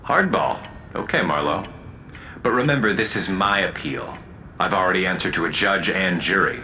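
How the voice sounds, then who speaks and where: A man playing a cunning, taunting telepathic convict in an audio drama, with a deliberate, theatrical and mocking delivery. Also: low voice, slight background noise. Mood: taunting, sly. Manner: theatrical, deliberate, mocking, swinging between calm and outburst. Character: cunning, taunting telepathic male convict pleading his appeal.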